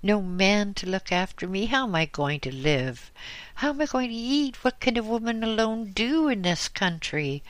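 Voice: mid-range voice, big pitch swings, normal speed, dry recording, voice pushed up, lightly acted. A narrator doing a frightened old widow. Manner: trembling, beseeching. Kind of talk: audiobook character voice.

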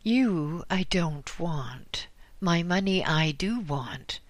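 A narrator voicing a greedy male publisher who slowly demands his money back. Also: fairly high pitch, animated, dry recording, pitched above the performer's own voice. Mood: demanding. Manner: slow, wheedling, demanding.

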